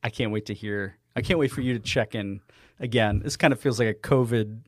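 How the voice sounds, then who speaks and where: A man co-hosting a casual podcast, talking in an easygoing, drawn-out way with some swearing. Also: lowish voice, no echo. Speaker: podcast co-host. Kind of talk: casual chat.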